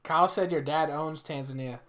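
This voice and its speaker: A man's voice: on the high side, steady tone, brisk, fairly bright tone. Teasing young man on a podcast. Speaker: podcast co-host. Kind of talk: casual chat.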